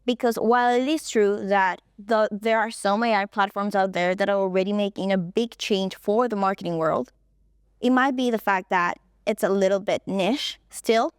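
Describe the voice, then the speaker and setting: A woman presents at a conference, setting out her points in order. Her voice is smooth and clear and sits at a middle pitch. The sound is close and dry, with no room echo. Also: steady tone, moderate pace. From Colombia. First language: Spanish. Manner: explanatory, walking through points in order. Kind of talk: stage presentation.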